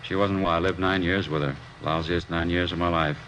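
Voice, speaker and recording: An actor playing a fed-up working-class American welder in an old radio crime drama, blunt, slangy and resentful. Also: male voice, low voice, steady tone, brisk, fairly bright tone. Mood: bitter. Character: bitter, fed-up working-class welder estranged from his wife. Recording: noisy old radio recording, machinery hum.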